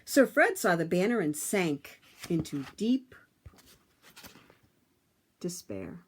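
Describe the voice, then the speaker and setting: A woman reading a picture book aloud to children, with dramatic, emphatic phrasing and drawn-out words. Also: fairly low pitch, very animated, breathy edge.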